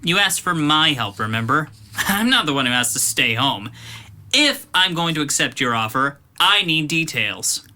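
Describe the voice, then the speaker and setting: Sharp, surly man playing a mocking teen boy. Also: fairly high pitch, big pitch swings, moderate pace, exaggerated voice. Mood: wary, mocking. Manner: blunt, sneering, slangy. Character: surly, mocking, foul-mouthed teenage cousin. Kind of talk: audio drama dialogue.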